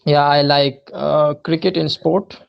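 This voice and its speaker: Gravelly young man on a dull call. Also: on the high side, steady tone, slow pace. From Pakistan. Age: 20s. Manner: short hesitant answers, many "uh"s. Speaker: student. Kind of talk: casual conversation practice. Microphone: video call. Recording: dull lo-fi video call audio.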